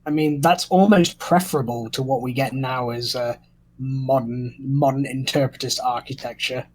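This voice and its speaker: A man's voice: mid-range voice, fairly even intonation, moderate pace. A man in a casual chat, making his remarks in a wry manner.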